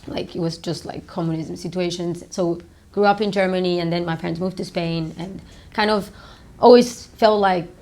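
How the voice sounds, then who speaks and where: A woman in her thirties, originally from Romania, tells her story in a somewhat low voice. She rambles loosely, filling pauses with "um" and "like" as she answers interview questions in an office. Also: steady tone, a little mellow. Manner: rambling, many fillers like "um" and "like". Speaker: startup founder and CEO.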